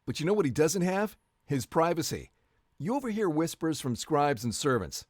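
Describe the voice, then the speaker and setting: A man's voice: fairly high pitch, very animated, normal speed, dry recording, studio quality. A man narrating a story video in the second person, with a wry, jokey delivery.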